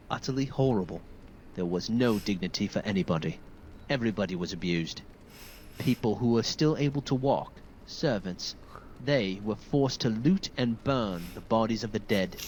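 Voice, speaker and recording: A man performs a wounded, grieving soldier from a video game. He speaks in a low, solemn voice with formal, deferential phrasing, over faint background ambience. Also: a little mellow, a little hiss or hum, lightly acted, low-quality recording. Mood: anguished, grim. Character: wounded, grieving male soldier recounting a massacre. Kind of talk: dramatic video game dialogue.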